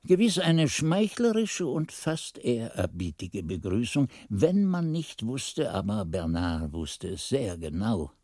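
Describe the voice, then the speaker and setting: Mellow monk narrator, expressive. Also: male voice, fairly low pitch, dry recording, clean studio sound, exaggerated voice. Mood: reflective. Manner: literary, measured storytelling. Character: male monk-pupil recounting his master's investigation. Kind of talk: scripted radio play narration.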